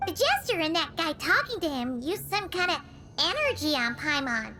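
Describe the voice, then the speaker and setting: A woman's voice: high-pitched, big pitch swings, normal speed, bright, forceful tone, exaggerated voice. A woman voicing a small, childlike, chatty companion girl in a video game, talking about herself in the third person. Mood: puzzled. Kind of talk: video game cutscene acting.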